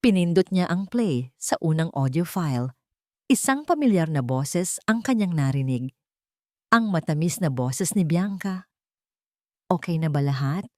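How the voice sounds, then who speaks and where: Lady narrator reading a dramatic story, lowish voice, really lively intonation, dry close sound. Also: unhurried, no echo. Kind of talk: scripted dramatic narration of a romance suspense story.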